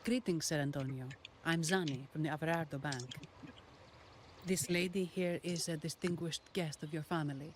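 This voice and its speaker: An actress playing a polite, businesslike young bank employee in a video game, formal and composed. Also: female voice, low-pitched, steady tone, moderate pace, slight background noise, lightly acted. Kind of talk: scripted video game dialogue. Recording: game music behind the voice. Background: video game music.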